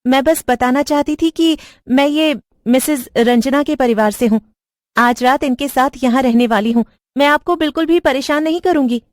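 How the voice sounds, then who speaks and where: A woman's voice: sits high, moderate pace, no room sound, studio quality, voice pushed up, lightly acted. A woman voicing an anxious, pleading young woman in a horror cartoon story, prone to stammering and repeating her words. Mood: uneasy, polite.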